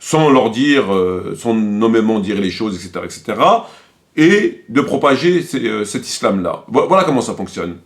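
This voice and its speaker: A man's voice: on the low side, animated, normal speed. A man speaking off the cuff in a didactic, polemical way, often repeating words as he searches for the next one. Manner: polemical, didactic, step-by-step explaining. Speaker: commentator. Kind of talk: unscripted religious-political commentary.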